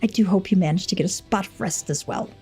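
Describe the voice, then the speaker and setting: A woman's voice: medium pitch, fast talker, warm tone, slightly rough, faint music behind. A woman voicing a perky, chatty and perennially happy young woman from a video game, gushing fondly. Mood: bubbly, cheerful. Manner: bubbly, gushing. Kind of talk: video game dialogue read aloud.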